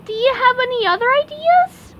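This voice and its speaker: A woman's voice: extremely high pitch, lively intonation, normal speed. A woman voicing an eager, anxious young male sea sponge in a hobby cartoon dub, with a whiny, childlike, exclaiming manner. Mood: distressed. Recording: muffled, clearly noisy home recording.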